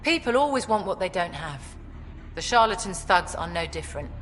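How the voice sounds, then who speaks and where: A woman's voice: lowish voice, sing-song, fairly quick, a bit bright, big-room sound, slight background noise. A woman playing a hardened, short-tempered outlaw leader in a video game, speaking in a blunt, menacing way. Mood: curt, dismissive. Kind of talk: video game cutscene acting.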